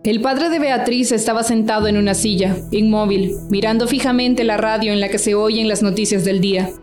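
A woman's voice: mid-range voice, normal speed. A woman reading scripted narration for a radio drama. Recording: roomy echo, faint music underneath.

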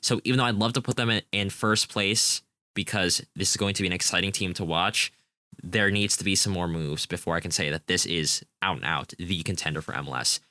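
A man's voice: low-pitched, brisk, sharp tone, dry recording. A young man co-hosting a casual soccer preview, talking enthusiastically and rambling with frequent restarts. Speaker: podcast co-host.

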